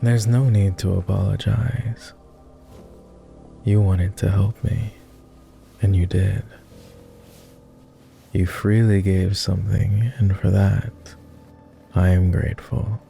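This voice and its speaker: Man acting a low, slow, weary vampire. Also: steady tone, gravelly, mellow, soft background music, exaggerated voice. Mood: grateful, confused. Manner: formal, measured, hesitant with pauses. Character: weary, reserved, self-loathing male vampire, immortal, courteous. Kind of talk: intimate ASMR roleplay acting.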